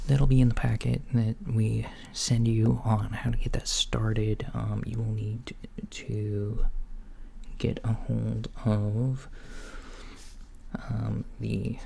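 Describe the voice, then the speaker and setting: A soft-spoken man doing a casual, hesitant ASMR roleplay as a mild alien doctor, often dropping to a near whisper. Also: mid-range voice, vocal fry. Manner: hesitant, understated, casual bedside talk. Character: mild, matter-of-fact alien doctor at a checkup. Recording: lo-fi with background noise. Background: writing sounds, soft ambience.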